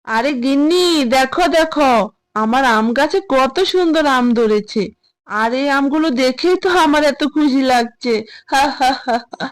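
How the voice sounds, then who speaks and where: A woman's voice: high-pitched, natural voice. A woman voicing a greedy, boastful male tiger farmer in a cartoon fable, with an exclaiming manner. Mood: gleeful, gloating. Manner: boastful, exclaiming, with drawn-out laughs. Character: gloating, greedy adult male tiger farmer, scornful husband. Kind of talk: Bangla cartoon fable voice acting.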